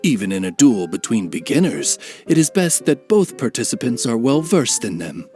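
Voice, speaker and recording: A man plays a loyal raven familiar in an anime game. The mid-pitched male voice moves with lively inflection. The manner is polite, formal and drily butler-like, with music behind. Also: normal speed, lightly acted. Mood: polite, explanatory. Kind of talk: anime video game dub.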